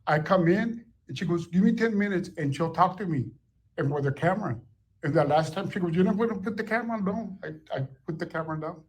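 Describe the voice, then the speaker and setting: A man's voice: high voice, animated, fast, low-quality recording. A man, a former school teacher and parent, arguing his point insistently and repeating himself for emphasis. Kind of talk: heated confrontation.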